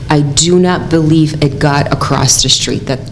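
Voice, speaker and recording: Low-voiced woman city staffer presenting. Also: normal speed, slight background noise. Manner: clear, procedural, explanatory. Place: council chamber.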